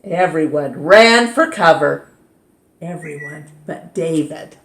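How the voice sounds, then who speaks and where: Storytime host, woman, slow and animated, roomy sound. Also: deep voice. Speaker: storytime reader. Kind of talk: reading a picture book aloud. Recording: roomy echo.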